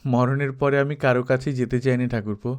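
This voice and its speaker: A man's voice: mid-range voice, steady tone, quick pace, darkish tone, smooth, close to an everyday voice. A man voicing a playful, teasing young woman, a secretive sister-in-law, in a dramatised Bengali novel reading. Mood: wistful, evasive. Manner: teasing, mock-scolding.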